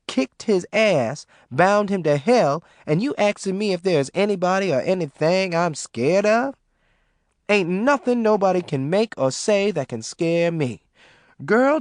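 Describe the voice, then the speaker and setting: A man's voice: sits high, no room sound, clean studio sound, voice pushed up. A man putting on a fearless matriarch. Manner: bold, emphatic dialect speech. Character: fearless tough older Southern woman, boastful.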